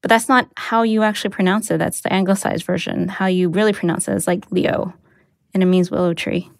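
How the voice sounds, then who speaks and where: A woman's voice: fairly low pitch, steady tone, brisk, creaky, dry recording, clean studio sound. An American woman whose first language is Mandarin, telling a personal story in an informal, conversational way. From United States. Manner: informal, sprinkled with "like" and "you know".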